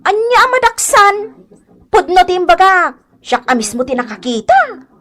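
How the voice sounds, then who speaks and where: A woman's voice: very high voice, big pitch swings, normal speed, fairly bright tone, exaggerated voice. A woman with an Ilocano accent playing an insistent, gossipy village woman in a radio comedy drama, exclamatory and dramatic. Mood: gossipy, scandalized.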